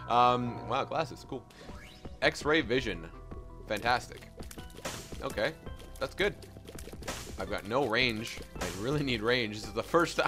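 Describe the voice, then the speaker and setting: A young man hosting a let's play, chatting casually and self-deprecatingly with many restarts while he plays. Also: mid-range voice. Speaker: gaming channel host. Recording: game music playing behind.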